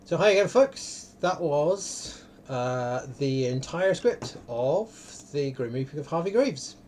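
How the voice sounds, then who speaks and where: A man hosting an online live event in a casual, unscripted way, often halting and interrupting himself. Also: fairly high pitch, lively intonation, a little mellow, a little hiss or hum. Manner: rambling, halting, self-interrupting. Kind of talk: casual, unscripted livestream talk. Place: indoor room, online video call.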